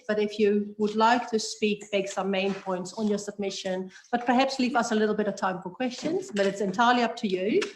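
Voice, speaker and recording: A woman's voice: mid-range voice, steady tone, a little fast, few pauses, a little hiss or hum, low-quality recording. Polite woman chairing a committee hearing. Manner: polite, with fillers. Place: parliamentary committee room.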